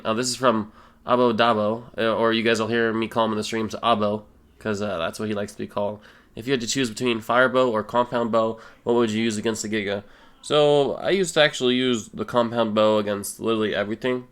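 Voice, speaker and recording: Young male gaming creator, a bit low-pitched, nasal from a cold, laid-back chatty delivery. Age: young adult. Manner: relaxed, rambling, many fillers. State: stuffy nose, a little sick. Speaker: gaming YouTuber. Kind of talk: casual Q and A voice-over.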